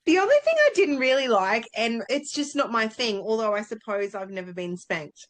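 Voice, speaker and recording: A woman's voice: on the high side, sing-song, moderate pace. A woman co-hosting a casual book discussion, talking informally and thinking aloud as she goes. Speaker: book club podcast co-host.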